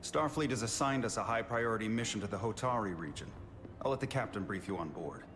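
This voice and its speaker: A man playing an earnest, weary starship officer in a video game, speaking in a measured, sincere, formal way. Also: lowish voice, animated, noisy background, lightly acted. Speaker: Starfleet officer character in a game. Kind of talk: cinematic video game dialogue. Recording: game audio with prominent music underneath.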